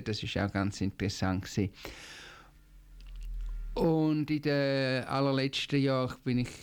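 Older guy, zurich swiss german accent, smooth clear voice, slow and hesitant, lots of ums. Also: on the low side, a little mellow. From Zurich, Switzerland. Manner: measured, hesitant with frequent fillers. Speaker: guest, Jesuit professor. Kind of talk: radio interview answers.

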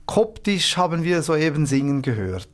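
A man's voice: a little high, big pitch swings, moderate pace. A man from the Upper Valais, interviewing a guest with formal, polite questions. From Upper Valais, Switzerland. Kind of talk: radio interview.

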